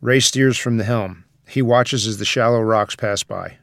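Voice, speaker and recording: A man's voice: medium pitch, steady tone, a little slow, gravelly, a bit bright, no room sound, clean studio sound. A man reading scripted stage directions as the narrator of a screenplay table read.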